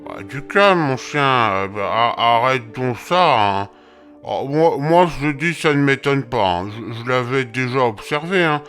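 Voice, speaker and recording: A man voicing a dazed stable coachman in an audio drama, roused from sleep and muttering his way through every sentence. His tone is bright, and the grumbling never quite turns into clear speech. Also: medium pitch, animated, measured pace, soft background music. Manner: mumbling with many fillers. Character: groggy bewildered old coachman, muttering.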